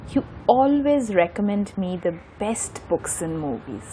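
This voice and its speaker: A woman's voice: on the low side, a little hiss or hum, natural voice, rough recording. A woman playing a bookish, teasing young Indian student in an audio drama, with an Indian accent. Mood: excited, friendly. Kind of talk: scripted audio drama dialogue.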